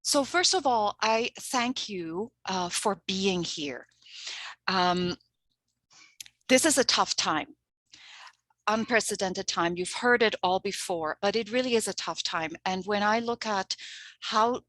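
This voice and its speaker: A woman's voice: mid-range voice, unhurried, a bit bright, dry recording. A middle-aged woman from Switzerland, a native Swiss German speaker, presenting a language-teaching workshop in an earnest, chatty way and laughing often. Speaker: language coordinator.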